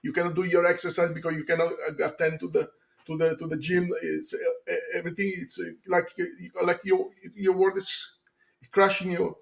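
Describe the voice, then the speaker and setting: Gravelly, flat-voiced Italian man, hesitant. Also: fairly high pitch, normal speed. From Italy, Rome. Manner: hesitant, many restarts and fillers. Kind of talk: interview testimony.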